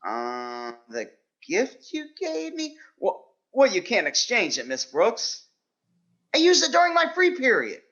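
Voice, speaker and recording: A man's voice: very high-pitched, huge pitch swings, unhurried, bright, forceful tone, muffled recording, exaggerated voice. A man playing a mild, bashful biology teacher in a radio sitcom, hesitant, naive and polite. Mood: bashful, puzzled. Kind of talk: 1940s radio sitcom acting on stage.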